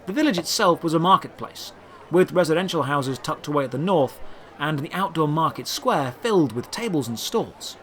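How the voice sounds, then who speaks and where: A man's voice: fairly high pitch, animated, moderate pace, a bit bright, a little hiss or hum. A man narrating a scripted first-person fantasy audio drama in a dramatic storytelling manner.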